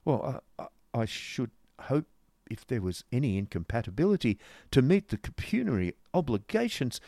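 A man's voice: mid-range voice, very animated, normal speed, vocal fry, dark tone, no room sound, clean studio sound, voice pushed up. A male reader voicing a mincing, genteel woman of fifty who speaks primly and hesitantly. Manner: prim, dainty, hesitant with little coughs. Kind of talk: audiobook character voice.

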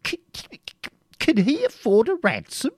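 A man's voice: very high voice, wildly swooping pitch, moderate pace, a little mellow, husky, no echo, studio quality, exaggerated voice. A man voicing a pompous, indignant medieval prior who blusters scornfully and stammers when outraged.

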